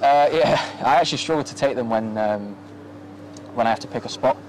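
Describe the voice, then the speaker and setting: A young man, a footballer, giving a soft-spoken post-match interview outdoors at a floodlit ground at night, with many ums and restarts, into a handheld mic. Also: medium pitch, fairly quick, rough recording. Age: young adult. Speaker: professional footballer. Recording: outdoor ambience with faint noise.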